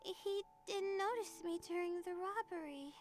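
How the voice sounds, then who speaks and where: Very high-pitched timid student girl. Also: soft background music. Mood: puzzled, apologetic. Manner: hesitant, halting, apologetic. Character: anxious, timid, bewildered young female student. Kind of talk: anime-style game voice acting.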